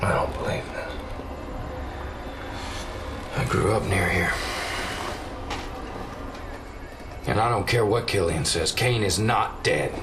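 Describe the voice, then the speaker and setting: An actor playing a cynical but loyal military officer in a video game cutscene, with a colloquial, indignant way of talking. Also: male voice, sits low, a little slow, very noisy. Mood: disbelieving.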